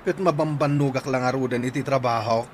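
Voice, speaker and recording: A man's voice: medium pitch, fairly even intonation, brisk, slight background noise, lightly acted. A man playing a weary, timid, complaining husband in an Ilocano comedy radio drama, with plaintive exclamations.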